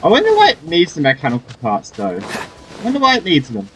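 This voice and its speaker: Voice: medium pitch, huge pitch swings, fairly quick, fairly bright tone, a little hiss or hum, rough recording. A gamer giving casual, off-the-cuff commentary on a playthrough. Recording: faint game music behind.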